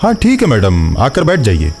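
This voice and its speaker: A man's voice: mid-range voice, wildly swooping pitch, normal speed, darkish tone, soft background music. A man voicing a polite, uneasy, deferential truck driver in a cartoon horror story. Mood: obliging.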